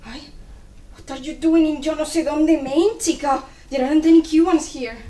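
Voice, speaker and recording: Woman as lively, reproachful Cuban confidante for stage drama; distant, reverberant pickup with slight noise. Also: sits high, moderate pace, close to an everyday voice. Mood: chiding. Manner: chiding, mixes in Spanish endearments. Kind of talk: dramatic play reading. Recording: echoey room, distant mic, faint noise.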